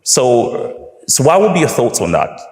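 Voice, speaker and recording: A man asking questions at a debate, measured and a little hesitant. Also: medium pitch, very animated, a little mellow. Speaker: audience questioner. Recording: echoey hall reverb.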